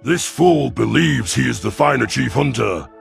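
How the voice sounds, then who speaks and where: Sci-fi audio story: arrogant young reptile hunter performed by a man, thick vocal gravel, sneering, faint music bed. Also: mid-range voice, lively intonation, normal speed, voice pushed up. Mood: boastful, scornful. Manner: boastful, sneering. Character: young alien reptilian chief hunter, arrogant, taunting brute.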